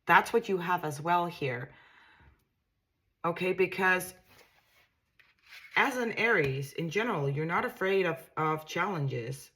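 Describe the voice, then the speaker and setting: A woman's voice: lowish voice, animated, takes it slowly, pauses often, a bit bright. A woman with a Danish accent, a tarot reader giving a casual reading to camera, musing aloud and often repeating and restarting her words in a reassuring way.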